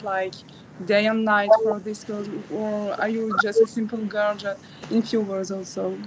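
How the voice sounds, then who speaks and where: A woman interviewer on a video call, talking haltingly with many uhs. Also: mid-range voice, highs cut off, a little hiss or hum. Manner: halting, filler-heavy, flat delivery.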